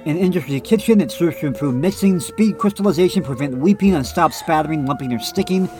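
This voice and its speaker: A man's voice: fairly high pitch, lively intonation, fast, darkish tone, faint music behind. A man on a casual podcast with a slightly nasal voice, reading a text aloud and breaking off into blunt remarks of his own. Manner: reads passages then adds blunt off-the-cuff remarks. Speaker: podcast co-host.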